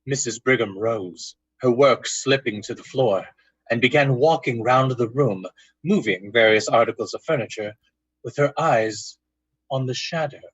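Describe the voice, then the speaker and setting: A man's voice: mid-range voice, unhurried, slightly rough, dry recording, highs cut off. A man giving dramatic scripted narration in the style of an old-time radio drama.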